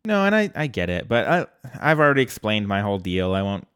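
A man's voice: on the low side, big pitch swings, fast talker, fairly bright tone, clean tone, dry recording, clean studio sound. A man co-hosting a podcast, chatting casually as he recaps an episode step by step. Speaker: podcast co-host.

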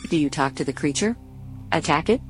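A woman's voice: sits low, lively intonation, brisk, soft background music. A woman acting as a game system announcer, reading scripted prompts and options.

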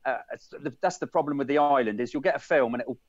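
A man's voice: mid-range voice, fast talker, no room sound, dull audio. A man co-hosting a film podcast over a video call, rambling and repeating words as he talks. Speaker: podcast co-host. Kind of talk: casual video-call chat.

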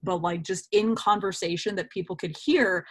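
A woman actor talking casually as an interview guest, animated and given to slipping into dialect voices. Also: mid-range voice, moderate pace.

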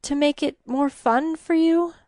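A woman voicing a frightened, proud young queen and mother in a fairy-tale audiobook, breathy, emphatic and pleading. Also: fairly high pitch, lively intonation, slow, darkish tone, no room sound, clean studio sound. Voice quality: husky, breathy when distressed.

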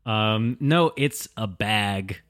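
A man's voice: on the low side, very slow, fairly bright tone, dry recording, studio quality. A man co-hosting a podcast, telling a story in a casual, wry, profane way.

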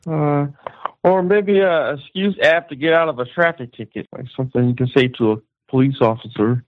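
A male comedian pitching hesitant ideas on a podcast, full of "like" and "uh". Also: fairly quick, no echo, narrow, tinny audio. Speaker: comedian guest. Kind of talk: casual comedy podcast chat.

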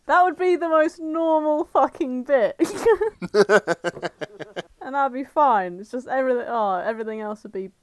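Female co-host, higher-pitched, dry close mic, blunt deadpan swearer. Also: measured pace, no echo. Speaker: podcast co-host. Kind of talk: casual chat.

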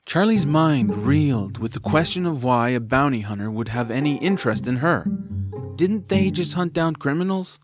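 A man narrating a scripted story in an expressive, dramatised storytelling manner. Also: mid-range voice, normal speed, over music.

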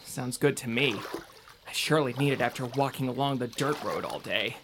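An actor playing an earnest, excitable young male traveler in an audio drama, expressive and sometimes hesitant. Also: medium pitch, normal speed, background noise, natural voice. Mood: agreeable. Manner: expressive, wide-eyed. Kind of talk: scripted audio drama acting.

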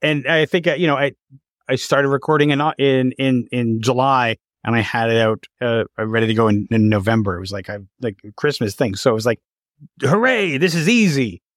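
A man hosting a casual interview, talking in an animated, rambling way and often stammering or repeating words. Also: medium pitch, a little fast, dry recording, clean studio sound. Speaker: interviewer, podcast host.

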